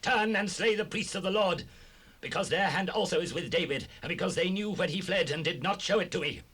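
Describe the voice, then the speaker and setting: An actor playing a wrathful, suspicious king in a dramatized Bible recording, husky and imperious. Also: high-pitched, a little fast, fairly bright tone. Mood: furious command. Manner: imperious, declamatory. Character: King Saul, wrathful, suspicious, commanding monarch.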